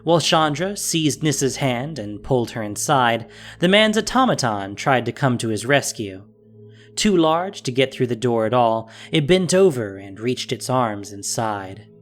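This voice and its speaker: A man's voice: mid-range voice, big pitch swings, measured pace. Male fantasy narrator over music. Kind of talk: scripted fantasy story narration.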